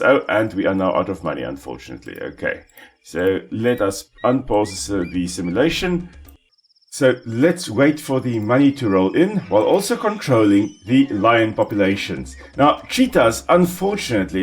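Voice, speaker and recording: A man, an Afrikaans speaker, calmly explaining ecology while playing a simulation game, with small restarts. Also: lowish voice. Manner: explanatory, calm, with small self-corrections. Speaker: ecologist gaming host. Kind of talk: educational let's-play commentary.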